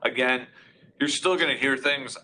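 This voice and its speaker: A man with a loud, carrying voice presenting a webinar, walking through a live product demonstration in a casual, explanatory way from a meeting room. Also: medium pitch, normal speed, slight background noise, rough recording. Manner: informal, explanatory. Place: meeting room with reflective walls. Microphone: conference room array microphone.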